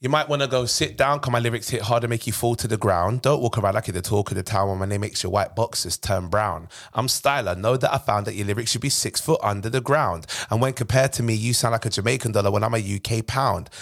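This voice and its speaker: A man's voice: on the low side, fast talker, few pauses, a bit bright. A man with a British accent bantering casually in slang as a podcast host, insistent and repetitive, at times breaking into rap. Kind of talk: casual banter and freestyle rapping.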